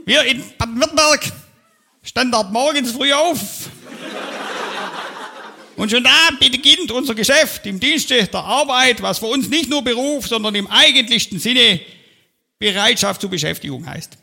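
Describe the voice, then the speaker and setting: A man's voice: very high voice, lively intonation, a little slow, creaky, bright, forceful tone, heavily acted. A man playing a pompous, self-important speaker who gives a long-winded stage speech. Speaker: comedian on stage. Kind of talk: parody of a pompous official speech. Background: audience laughter.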